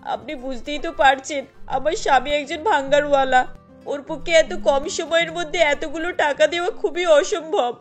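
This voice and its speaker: A woman voices a frail cartoon wife and mother, her voice high and fretful. She pleads and frets throughout, and faint music sits behind her. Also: fairly even intonation, normal speed, close to an everyday voice. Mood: pleading. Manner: pleading, worried. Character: ailing, anxious village wife and mother.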